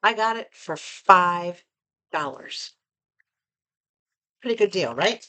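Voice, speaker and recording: A woman vlogger chatting casually through an unboxing, reading labels aloud and asking viewers questions, a bit hoarse from losing her voice. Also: lowish voice, expressive, takes it slowly, sharp tone. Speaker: vlogger reviewing purchases.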